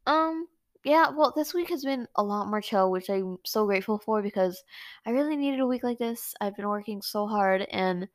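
Congested soft-spoken teen girl. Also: mid-range voice, expressive, moderate pace, no echo, clean studio sound. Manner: soft-spoken, supportive, uses many fillers. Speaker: podcast co-host. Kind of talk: casual chat.

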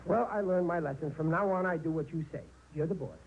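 A man's voice: fairly high pitch, quick pace, creaky, muffled recording. An American actor playing a blustering, scheming, hapless middle-aged husband and father in a 1940s radio comedy, often stammering and pleading. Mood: contrite. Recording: noisy old radio broadcast recording.

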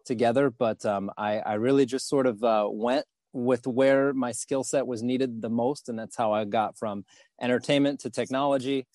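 A man speaking as a podcast guest about his career and fundraising, pausing often to restart his sentences. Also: medium pitch, fairly even intonation, darkish tone, no echo, studio quality. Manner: halting, many fillers and restarts. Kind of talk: podcast interview.

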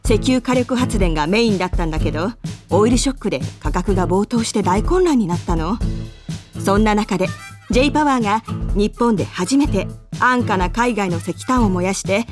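A woman's voice: mid-range voice, lightly acted. An actress playing a friendly, composed senior female office colleague in a Japanese radio drama, a little bashful and evasive. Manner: friendly casual senior speech, earnest when explaining. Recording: studio voice with music behind.

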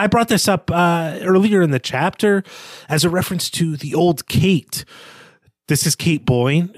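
A man's voice: fairly high pitch, no room sound, clean studio sound. A man co-hosting a podcast, analysing literature conversationally in a reflective way, often qualifying his points. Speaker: podcast co-host.

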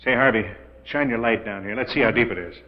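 An actor playing an eager, trusting husband in a 1940s radio thriller, with a hearty, excitable, theatrical manner. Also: male voice, mid-range voice, quick pace, crowd noise, natural voice. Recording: dull vintage 1940s radio, long reverb.

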